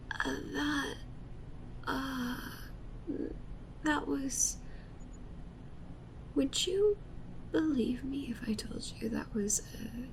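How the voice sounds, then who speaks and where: A woman's voice: high voice, gravelly, slight background noise, exaggerated voice, poor audio. A woman playing an obsessive, clingy yandere witch streamer in an intimate roleplay ASMR monologue, half-whispering with intensity. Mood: flustered.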